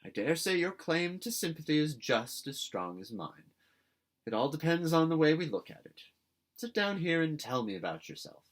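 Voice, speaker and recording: A man's voice: fairly high pitch, very animated, moderate pace, voice pushed up. A man reading a storybook as a stern, prim old woman with a severe, clipped manner. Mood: softened. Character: stern, prim old great-aunt, severe but softening.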